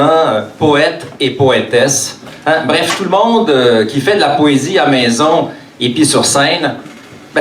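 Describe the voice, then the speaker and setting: Slow-paced Quebec man performing slam in echoey room. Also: a little high. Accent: Quebec French. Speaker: slam poet. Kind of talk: live spoken-word poetry performance. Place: indoor bar stage. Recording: echoey room, distant mic, faint noise.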